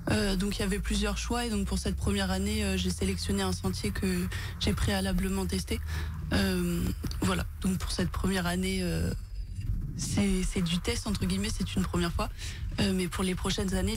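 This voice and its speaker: A woman's voice: medium pitch, slight background noise. A woman with a French accent giving informative, slightly hesitant unscripted answers as an interview guest. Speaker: tourist office event organiser, interview guest.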